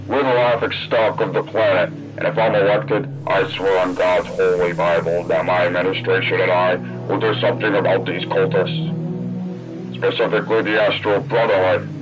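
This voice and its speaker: An actor playing an indignant 1960s presidential candidate giving a stern, righteous campaign address full of scorn. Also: male voice, low voice, fairly even intonation, moderate pace. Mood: indignant, scornful. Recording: dull old broadcast recording, loud music.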